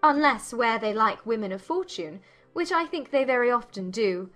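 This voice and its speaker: Spirited young Regency gentlewoman, higher-pitched. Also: expressive, normal speed. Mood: teasing, challenging. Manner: arch, playful, formal phrasing. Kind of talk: dramatized audiobook acting. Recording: music behind the voice.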